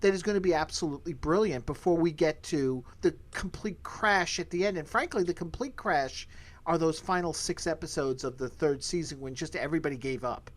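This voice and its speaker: A man's voice: on the high side, a little fast. A man hosting a podcast, giving his opinions in a casual, emphatic way and repeating phrases for stress. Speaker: podcast host.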